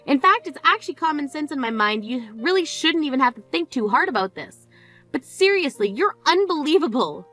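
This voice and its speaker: A high-voiced, blunt sister in mourning, played by a woman, scolding her sibling with cutting disbelief. Her tone stays sharp and exasperated, over faint background music. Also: sing-song, a little fast, full character voice. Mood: incredulous. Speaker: sister at a funeral. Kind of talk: scripted dramatic dialogue.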